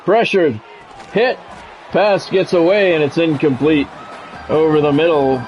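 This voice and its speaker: An American man doing live play-by-play of a video game football match in a measured announcer style, mixed with casual chat to stream viewers. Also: fairly high pitch, steady tone. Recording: dull lo-fi sound with music behind.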